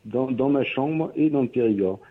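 A man's voice: medium pitch, normal speed. A man who is a guest musician, talking casually in a radio interview, hesitant with many fillers and restarts. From Pyrénées-Orientales, France. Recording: tinny phone-like radio line.

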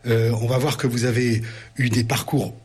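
Courteous interviewer with a very husky voice. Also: male voice, mid-range voice, little pitch movement, moderate pace, a little mellow. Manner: courteous, long winding questions with repetitions. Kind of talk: formal interview.

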